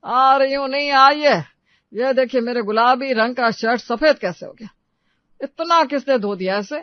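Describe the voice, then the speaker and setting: A man's voice: very high-pitched, normal speed, clean tone, no room sound, muffled recording. An actor playing a fussy family man in a cartoon story. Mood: annoyed.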